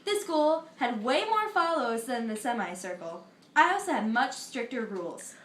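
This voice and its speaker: A woman's voice: fairly high pitch, big pitch swings, reverberant. A teenage girl playing the philosopher Pythagoras in a school mock interview, reciting her answers earnestly with small slips. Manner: earnest, recited, a little halting. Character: ancient Greek philosopher Pythagoras, an older man, earnest. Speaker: student in a school history project.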